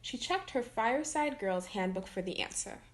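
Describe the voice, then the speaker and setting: A woman's voice: fairly high pitch, expressive, moderate pace. A woman reading a children's picture book aloud as a storytime reader.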